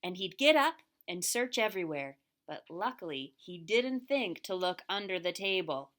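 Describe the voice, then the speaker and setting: A woman's voice: fairly low pitch, lively intonation, normal speed, a bit bright. A woman narrating a scripted children's story as the storyteller of a puppet show.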